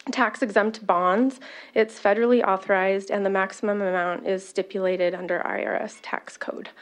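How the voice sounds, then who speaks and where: A woman finance officer giving formal testimony to a committee, loud-voiced, with frequent "um" fillers and small apologetic asides. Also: medium pitch.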